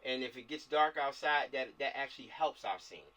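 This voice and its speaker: American man, bright voice, distant video-call mic. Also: mid-range voice, moderate pace. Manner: repeats himself, often seeks agreement. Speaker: film director organizing a shoot. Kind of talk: casual planning chat on a group video call.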